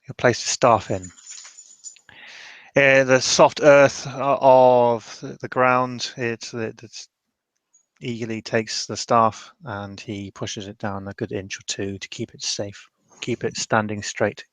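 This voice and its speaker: Brief: male roleplayer narrating scenes, slow and halting, lowish register, very dry close sound. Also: level tone, fairly bright tone, no echo. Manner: halting, deliberate, descriptive. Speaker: tabletop roleplay player. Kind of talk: improvised narration for a roleplaying game.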